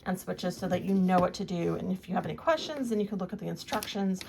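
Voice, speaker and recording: A woman's voice: on the low side, fast talker, darkish tone, low-quality recording. A woman giving a casual, plain-spoken product review, explaining features as she shows them. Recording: clear background noise, handling clicks.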